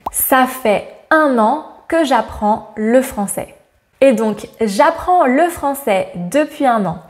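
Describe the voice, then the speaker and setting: A woman in her thirties giving a scripted grammar lesson to camera in a bright indoor room, lively and smiling, slowing down to stress her examples. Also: a little high. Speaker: French teacher. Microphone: lapel mic.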